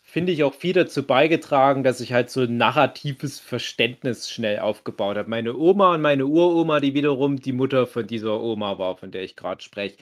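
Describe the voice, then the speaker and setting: A man in his thirties with a German accent, reminiscing in a casual, reflective and explanatory way. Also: mid-range voice. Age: 30s.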